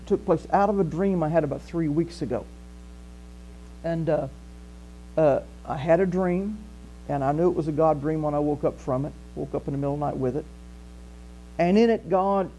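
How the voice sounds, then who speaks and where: An older man with a Southern US accent, preaching a conversational sermon as pastor in a small church hall. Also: on the high side. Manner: conversational preaching, animated gestures, walks the stage. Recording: faint mains hum.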